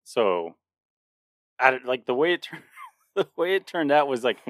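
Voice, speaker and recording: A man's voice: mid-range voice, sing-song, measured pace, no echo, studio quality. A man in his late thirties co-hosting a podcast in a casual chat, rambling with frequent restarts and laughter. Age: 30s. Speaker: podcast co-host.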